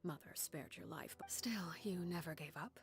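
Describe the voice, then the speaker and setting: A woman playing a stern, composed leader in a fantasy video game, with a breathy, grave, measured delivery. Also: lowish voice, close to an everyday voice. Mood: solemn. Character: stern, composed, low-voiced woman leading an orphan house.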